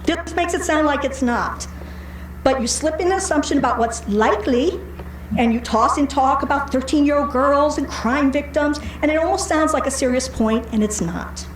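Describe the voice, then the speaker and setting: A woman's voice: sits high, moderate pace. An American woman reading a prepared speech at a public meeting, argumentative and pointed, with a sardonic edge. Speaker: public commenter at a county board meeting. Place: indoor county board meeting room. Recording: echoey meeting room with faint background noise.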